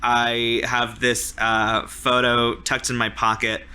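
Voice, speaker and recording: A man's voice: mid-range voice, completely flat delivery, slow, sharp tone, clean tone. A man casually improvising narration as a player in a tabletop roleplaying game.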